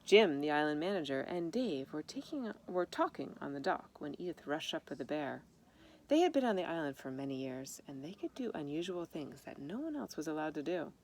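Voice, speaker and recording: A woman reading a children's story aloud in an expressive manner, with informal asides about the pictures. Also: low voice, brisk. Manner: expressive, acts out dialogue. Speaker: storybook reader. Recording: noticeable background noise.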